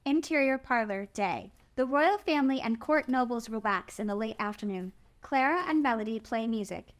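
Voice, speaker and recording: A woman's voice: a little high, expressive, moderate pace. A woman narrator reading out the stage directions and scene headings of a screenplay.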